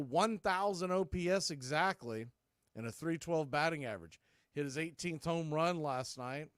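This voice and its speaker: A man's voice: medium pitch, clear, resonant tone, no room sound, studio quality. A man doing live radio baseball commentary in clipped, conversational phrases, hosting the play-by-play.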